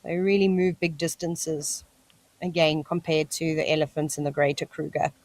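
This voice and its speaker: A woman's voice: low-pitched, flat delivery, moderate pace, a little mellow. Woman, South African accent, live wildlife commentary, enthusiastic and chatty, insect noise in background. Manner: chatty, enthusiastic, talks to the animals. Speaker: wildlife livestream host. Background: cicadas screeching.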